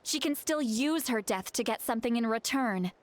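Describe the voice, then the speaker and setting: A woman acts a serious, analytical young guide in a video game. The voice is fairly high, with an earnest, explanatory manner and a composed temper. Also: expressive, normal speed, very noisy, natural voice.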